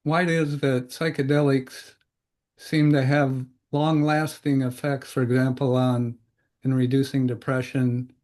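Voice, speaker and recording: A man's voice: medium pitch, level tone, measured pace, darkish tone. An older man hosting a podcast, asking loosely phrased questions and rambling through his own stories. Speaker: podcast host. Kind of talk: conversational interview.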